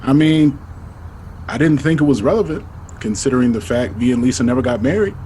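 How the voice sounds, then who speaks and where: A man's voice: mid-range voice, lively intonation, a little fast, mellow, a little hiss or hum, natural voice. A man acting in an audio drama as a guarded, regretful husband who talks in a colloquial, defensive way. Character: married man hiding an old engagement, guarded and regretful.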